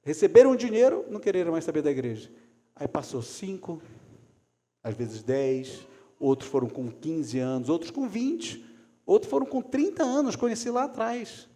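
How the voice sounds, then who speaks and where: A man preaches in a church hall, his voice sitting a little high but sounding mellow and soft-edged. He swings from loud calls to hushed asides. A long hall reverb trails after every phrase. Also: lively intonation, moderate pace. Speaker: pastor. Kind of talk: sermon.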